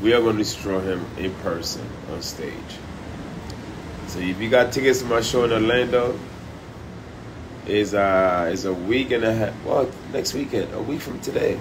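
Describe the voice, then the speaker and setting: Brash male comedian on a phone live stream, low-ish voice, trash-talking, audible room hum. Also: moderate pace. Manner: loud, profane, animated trash talk. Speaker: comedian livestreaming. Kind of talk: casual live stream chat. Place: high-rise hotel room by the beach. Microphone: phone held at arm's length.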